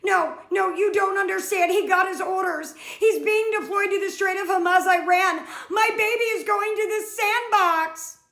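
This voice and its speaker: A woman's voice: very high voice, moderate pace, vocal fry, few pauses, a bit bright, roomy sound, full character voice. A woman voicing a whiny, gullible middle-aged mother in denial, wailing and pleading in a comedy skit. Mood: panicked, defensive.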